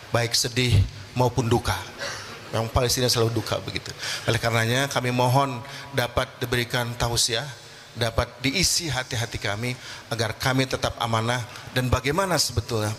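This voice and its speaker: A man's voice: mid-range voice, fairly bright tone, slight background noise. A man speaking for a charity, making a formal, polite request with religious greetings. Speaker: charity representative.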